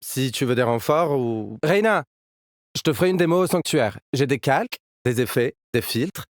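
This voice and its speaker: A man's voice: mid-range voice, animated, moderate pace, dry recording, clean studio sound, natural voice. A man playing an upbeat, cocky young musician fighter in a video game, with casual, playful team banter. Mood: hyped, upbeat. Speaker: video game agent character. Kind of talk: game character voice lines.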